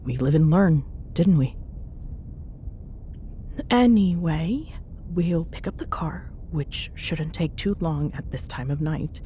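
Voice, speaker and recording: A woman's voice: lively intonation, slow pace, pauses often, warm tone. A woman playing a teasing, bossy but fond young ghost hunter in an intimate ASMR roleplay, bantering sarcastically in a casual, murmuring way. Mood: wry, planning. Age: young adult. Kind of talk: ASMR audio roleplay, intimate one-sided chat. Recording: clear background noise with low rumble.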